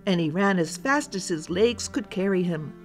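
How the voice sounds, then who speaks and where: A woman's voice: fairly low pitch, lively intonation, normal speed, faint music behind. A woman storyteller narrating a children's folktale as a scripted read-aloud.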